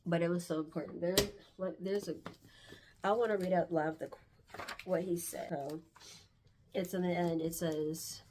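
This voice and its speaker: Woman, low voice, congested with hay fever, casual book-review chat, reflective, halting. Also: steady tone, background noise. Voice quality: stuffy, congested nose.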